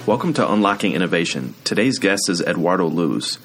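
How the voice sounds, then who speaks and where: A man's voice: deep voice, sing-song, brisk, over music. A man hosting a business podcast, asking questions with brief, upbeat acknowledgments. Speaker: podcast host.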